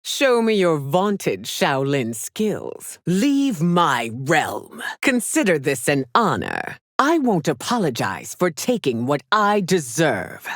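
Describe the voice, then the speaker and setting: An actress playing a haughty, regal, middle-aged warrior empress in a video game, speaking formally and imperiously with theatrical diction. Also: female voice, low voice, huge pitch swings, slow pace, hardly any pauses, no room sound, studio quality, exaggerated voice. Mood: stern. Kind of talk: video game character voice lines.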